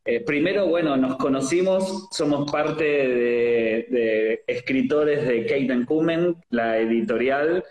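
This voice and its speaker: A man's voice: mid-range voice, slow pace, hardly any pauses, a little mellow. Joking Argentine man hosting, roomy call. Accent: Argentine Spanish. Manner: playful, joking, many fillers. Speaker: host. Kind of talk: casual interview chat.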